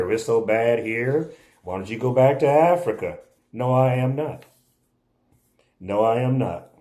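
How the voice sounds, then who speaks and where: A man from the United States giving impassioned spoken commentary, emphatic and insistent, often repeating his points. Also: lowish voice. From United States (born in Oklahoma). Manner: repetitive, emphatic, insistent, occasional laughs. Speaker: video commentator.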